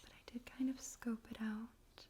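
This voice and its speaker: A woman speaking in a soft, breathy whisper, gently and carefully, in an ASMR style for poetry reading. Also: fairly high pitch, flat delivery, moderate pace, a little hiss or hum. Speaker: ASMR host and poetry reader. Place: room in a home in daytime.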